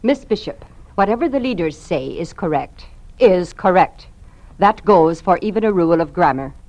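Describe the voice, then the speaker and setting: A woman's voice: lowish voice, normal speed, dry recording. An actress playing a cold, doctrinaire woman informant in a 1950s radio drama. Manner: flat, clipped, dogmatic. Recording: dry, dull lo-fi old radio recording.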